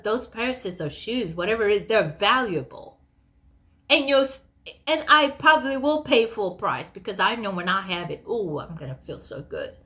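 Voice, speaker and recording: Female motivational speaker, high register, emphatic and conversational. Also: fairly bright tone. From Kenya. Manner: emphatic and conversational, asks rhetorical questions. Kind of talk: motivational talk addressed to listeners.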